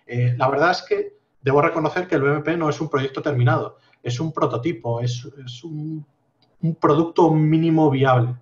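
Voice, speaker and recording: A man in his thirties explaining his project on a video call from a home office, measured and full of fillers. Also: a little high, highs cut off. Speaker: guest project founder. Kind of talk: casual video call interview.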